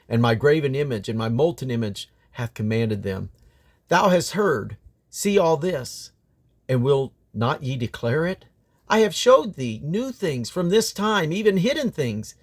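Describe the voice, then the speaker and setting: Male scripture reader, slightly high register, solemn and declamatory, phrases set out deliberately with firm stress. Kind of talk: solemn Bible reading aloud.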